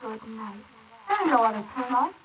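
An actress playing a brazen, impatient, scheming bride in a 1940s radio drama style on an old recording. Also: female voice, on the high side, big pitch swings, normal speed. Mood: dismissive, impatient. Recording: noisy muffled 1948 wire recording of transcription discs.

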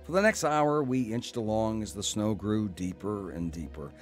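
A man's voice: fairly low pitch, expressive, moderate pace, soft background music, natural voice. A man with an American accent acting a proud, cynical, stubborn older brother in a first-person audio drama. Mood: regretful narration. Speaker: actor narrating and playing the lead.

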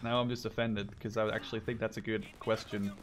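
A man in his twenties from the Netherlands, chatting casually and joking with a friend while playing a shooter game, with sudden excited shouts. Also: on the low side, quick pace, crowd noise. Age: 20s. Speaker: gaming channel host. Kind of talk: casual gameplay chat with a friend.

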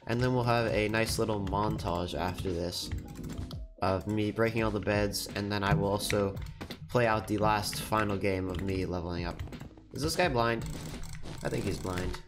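A teenage boy with a stuffy nose from a cold, chatting casually and cheerfully while commentating his gameplay at a desk microphone. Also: on the low side. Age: teens. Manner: chatty, upbeat, quick laughs. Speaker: gaming YouTuber. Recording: music playing under the voice. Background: background music and keyboard typing.

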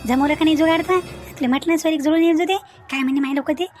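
Woman as cartoon village matriarch, high-pitched, quick-talking, bossy, proud, nagging. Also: a little mellow, soft background music, lightly acted. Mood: eager questioning. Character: bossy, proud village mother-in-law arranging a wedding. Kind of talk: Marathi comedy cartoon dialogue.

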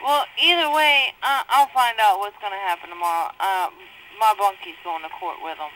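A woman's voice: medium pitch, normal speed, sharp tone, a little hiss or hum, low-quality recording. A woman calling from jail on the phone, agitated and heavily profane, arguing with her mother over her court case. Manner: agitated, profane, talks over the other speaker. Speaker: inmate on a jail phone call. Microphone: jail telephone.

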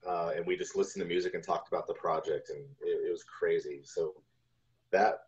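A man's voice: deep voice, fairly even intonation, a little fast. A man in his forties chatting casually as an interview guest, telling stories from his work. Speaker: guest, video game composer. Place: home room on a video call. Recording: dull lo-fi video call audio.

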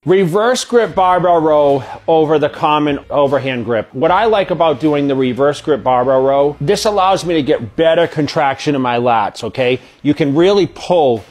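A middle-aged man, a bodybuilding coach, explaining an exercise in a steady, practical way, recorded on a lapel mic in a home gym. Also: on the high side, moderate pace, few pauses. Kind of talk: exercise instruction to camera. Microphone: clip-on lapel mic.

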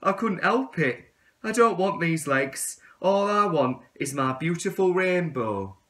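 A man's voice: high voice, expressive, takes it slowly, a bit bright, far from the mic, voice pushed up, heavily acted. A man voicing a lovestruck young male tadpole in a children's storybook, earnest, eager and apologetic. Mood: pleading. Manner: earnest, pleading.

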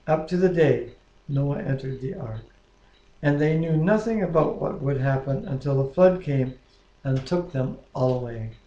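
Mellow older North American man, echoey room. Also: fairly high pitch, lo-fi sound. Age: 60s. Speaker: co-host of a home Bible reading. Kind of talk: reading scripture and praying aloud. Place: living room, indoors. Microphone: webcam at about arm's length. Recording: echoey living room webcam audio.

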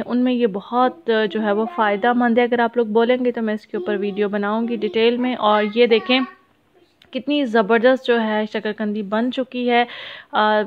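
A woman in her thirties with a Pakistani accent, sounding sad as she gives a casual, halting vlog voice-over sprinkled with English words. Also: fairly high pitch. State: sad, downcast. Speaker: family vlogger. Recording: muffled phone-like narrow-band sound.

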